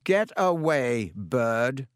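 A man acts out a young dark wizard villain from a storybook, cold and sinister. He gloats and taunts, stretching out his menace in slow phrases. The sound is dry and close, with no room around it. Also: medium pitch, very animated, smooth, no room sound. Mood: angry, commanding. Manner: taunting, menacing, drawn-out delivery.

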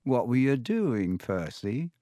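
A man's voice: mid-range voice, very animated, takes it slowly, warm tone, no echo. A man voicing a blunt, teasing teenage boy in a dry recording.

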